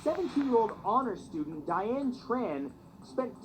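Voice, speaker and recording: A man's voice: extremely high pitch, very animated, unhurried, very noisy. A man narrating a scripted countdown video, mixing read-out facts with slangy asides, heard as played-back video audio.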